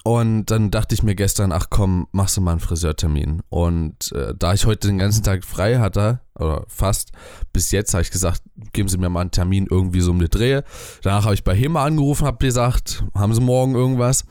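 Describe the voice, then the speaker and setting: A man's voice: low voice, fairly quick, dark tone, clean tone, dry recording. Man, chatty podcast co-host, rambling with lots of ums, dry close sound. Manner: rambling, hesitant with many fillers. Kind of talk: casual chat. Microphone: webcam and desk mic at home.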